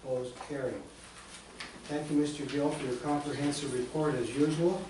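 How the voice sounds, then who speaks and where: A man chairing a council meeting, briskly reading motions and calling votes in a steady procedural cadence. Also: a little high. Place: council chambers. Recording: echoey chamber, distant mic, background noise.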